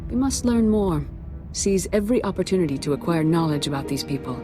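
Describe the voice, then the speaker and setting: A woman playing a calm, authoritative Jedi grand master in a video game. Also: on the low side, brisk, over music, lightly acted. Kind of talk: dramatic video game acting.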